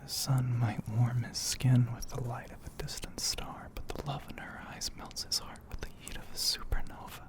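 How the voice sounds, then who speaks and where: Male ASMR narrator: breathy whisper throughout, unhurried and level, poetic phrasing with long pauses. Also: mid-range voice, level tone. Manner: slow, hushed, poetic delivery with frequent pauses.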